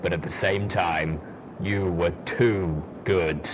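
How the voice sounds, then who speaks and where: A man acts out a grave male ghoul pony soldier from a storybook. The voice is very low, with a slow, solemn and blunt delivery. There is clear noise in the recording. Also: steady tone.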